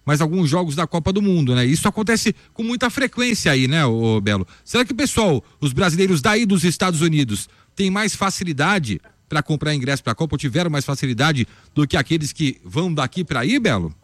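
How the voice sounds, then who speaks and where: Dry-sounding studio radio host, conversational. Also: male voice, fairly high pitch, very animated, brisk, a bit bright, dry recording. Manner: conversational, asks many questions. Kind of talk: live radio cross-talk.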